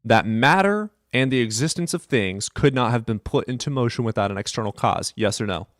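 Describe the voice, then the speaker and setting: A man's voice: medium pitch, expressive, a little fast, a bit bright, no room sound, clean studio sound. A man hosting a live stream debate, blunt and impatient, cutting in on callers. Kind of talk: combative debate.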